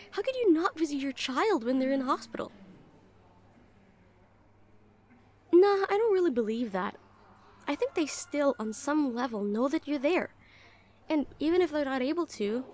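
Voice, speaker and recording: Woman acting a high-voiced, caring nurse. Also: expressive, normal speed, darkish tone. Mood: earnest, concerned. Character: caring, protective young female hospital nurse. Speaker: voice actor in an audio roleplay. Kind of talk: intimate audio roleplay acting.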